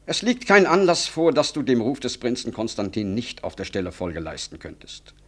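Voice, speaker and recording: An actor playing a self-important, middle-aged government minister in a radio drama, speaking formally and rhetorically. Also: male voice, medium pitch, big pitch swings, moderate pace. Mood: officious. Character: self-important male government minister, smooth, self-justifying old friend. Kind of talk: 1960s German radio drama acting.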